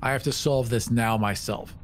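A man's voice: medium pitch, steady tone, normal speed, a little hiss or hum. A man playing a tabletop game, chatting casually and thinking his moves through aloud. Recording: music underneath. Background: background music.

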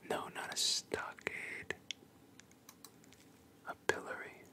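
A storyteller whispering in an unvoiced, breathy ASMR style, retelling a story with casual asides and read-out dialogue. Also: slow. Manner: casual asides between quoted lines.

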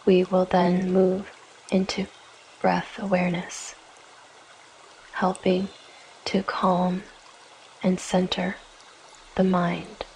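A woman guiding a yoga nidra meditation in a gentle, soothing, measured way, leaving long pauses between short phrases. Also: fairly low pitch, monotone, a little hiss or hum, rough recording. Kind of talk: guided meditation, scripted narration.

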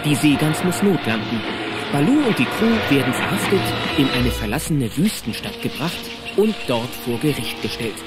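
A man's voice: medium pitch, sing-song, moderate pace. A man narrating a children's audio drama in a dramatic, playful scripted storytelling style. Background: faint music.

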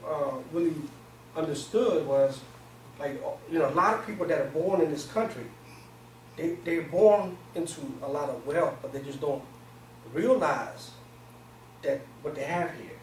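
Echoey, higher-voiced man giving an informal talk. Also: unhurried, a little mellow. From Pakistan, grew up in Virginia, US. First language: not English. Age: 40s. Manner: colloquial, streetwise phrasing. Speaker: seminar speaker. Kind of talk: informal motivational talk to a small group. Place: small indoor conference room. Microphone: far camera microphone. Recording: echoey room, distant camera mic, noisy.

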